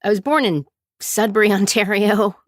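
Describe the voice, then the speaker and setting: A woman's voice: medium pitch, moderate pace, no room sound, studio quality. A woman from Calgary hosting her podcast, talking in a chatty, digressive, self-deprecating way and laughing often. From Calgary, Canada. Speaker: podcast host and performer. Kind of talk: casual solo podcast monologue.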